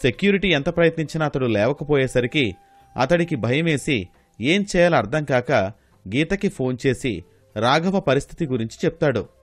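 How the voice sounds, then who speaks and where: A man's voice: medium pitch, lively intonation, normal speed, no room sound, faint music behind. A man reading scripted narration for a moral story.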